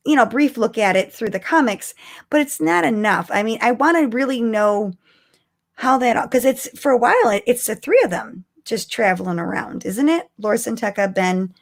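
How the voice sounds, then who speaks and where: A woman's voice: on the high side, animated, moderate pace, vocal fry. A woman co-hosting a podcast in casual fan discussion, thinking aloud in a conversational, emphatic way. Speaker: podcast co-host.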